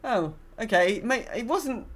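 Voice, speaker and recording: A woman's voice: a little high, animated, unhurried. A woman podcast host chatting casually about gaming, telling stories in a rambling, self-correcting way.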